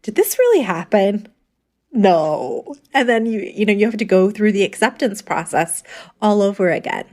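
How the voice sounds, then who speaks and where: Woman, podcast host, conversational, empathetic, affirming, medium pitch. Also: very animated, moderate pace, darkish tone. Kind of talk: conversational interviewing.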